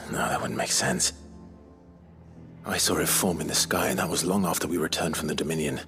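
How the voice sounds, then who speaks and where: An actor playing a grave, earnest male hero in a fantasy video game, measured and serious. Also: very deep voice, fairly bright tone, faint music behind. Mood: pondering, troubled. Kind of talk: video game dramatic dialogue.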